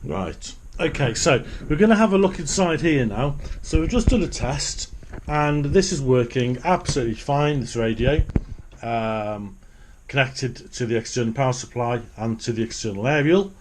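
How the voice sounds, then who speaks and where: A man's voice: medium pitch, expressive, normal speed, background noise. A middle-aged man giving a casual, unscripted hands-on commentary, partly muttering to himself as he works. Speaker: hobbyist presenter of a radio repair video. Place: small home office, indoors.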